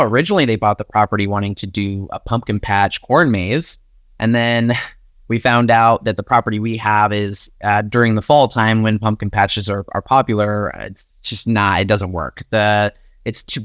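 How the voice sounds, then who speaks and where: A man's voice: lowish voice, fairly bright tone, no room sound, studio quality. A young American man telling stories in a chatty, conversational way as an interview guest, often acting out his own thoughts as quotes. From United States. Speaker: podcast guest, winery and wedding venue manager.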